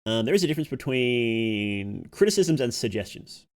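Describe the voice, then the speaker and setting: Male livestream reactor, medium pitch. Casual, unscripted commentary, often questioning himself and reasoning things through aloud. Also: sing-song, normal speed. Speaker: streamer reacting to horror videos.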